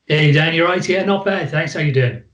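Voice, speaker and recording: A man's voice: on the high side, brisk, fairly bright tone, lo-fi sound. Easygoing Welsh man in an echoey room. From Wales. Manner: easygoing, jokey. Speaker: guest psychologist. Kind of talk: relaxed conversation and presentation. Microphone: video call.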